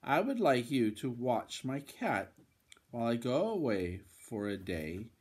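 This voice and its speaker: A man voicing a kindly, polite old wizard in a children's storybook, with courteous, measured delivery. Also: medium pitch, sing-song, slightly rough. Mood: asking.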